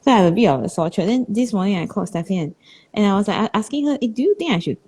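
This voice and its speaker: A woman's voice: medium pitch, animated, racing, warm tone, husky. A woman with a Singaporean English accent and a slight sore throat, co-hosting a casual chat in an easygoing, reflective way. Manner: easygoing, reflective, Singlish particles. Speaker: podcast co-host.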